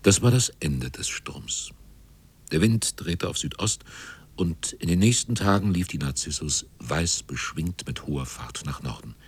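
Male narrator for literary radio drama: extremely deep, slightly rough tone, measured scripted delivery. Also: lively intonation.

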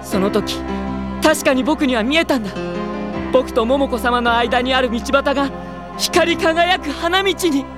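A woman playing an earnest teenage schoolboy in an anime audio drama, theatrical, moving between hushed reflection and fervent awe. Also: high-pitched, a little slow, music in the background. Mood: fervent admiration. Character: earnest, excitable teenage schoolboy, devoted admirer of an idol.

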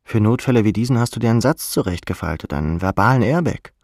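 A man's voice: fairly low pitch, animated, fast talker, darkish tone, dry recording, studio quality. A man reading literary second-person narration for an audiobook.

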